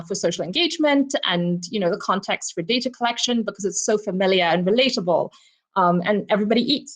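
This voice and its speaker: A woman from Zimbabwe speaks over a video call as a book club co-presenter. She reflects thoughtfully and personally on a novel, often pausing with ums and you knows. Her pitch sits in an ordinary middle range. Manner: reflective, personal, frequent "um" and "you know" fillers. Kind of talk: virtual book discussion talk.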